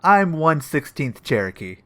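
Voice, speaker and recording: Man playing a cocky, dim detective with swooping pitch. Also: sing-song, unhurried. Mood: self-important. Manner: self-important tough talk. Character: cocky, dim-witted male police detective who talks tough. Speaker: voice actor in an audio play. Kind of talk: comedic audio drama acting.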